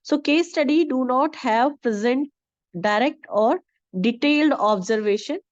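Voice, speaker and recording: A woman lecturing over a video call, her voice hoarse from a heavy cold. She speaks in a halting way, full of fillers, in a somewhat high voice. The call audio is dry and dull. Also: no echo. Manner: hesitant, many fillers. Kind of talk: online lecture with Q&A.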